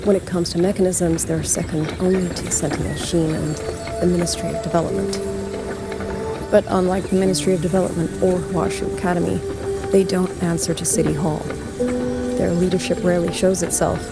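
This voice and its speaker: A woman voicing a solemn, dutiful military commander in a video game, with grave, deliberate and formal delivery. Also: lowish voice, level tone, natural voice. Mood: formal, regretful. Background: prominent game music.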